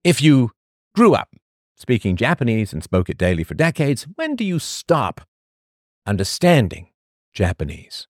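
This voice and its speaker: A man hosting a podcast, reading a scripted monologue full of rhetorical questions and emphatic pauses. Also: medium pitch, huge pitch swings, no echo, clean studio sound. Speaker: podcast host.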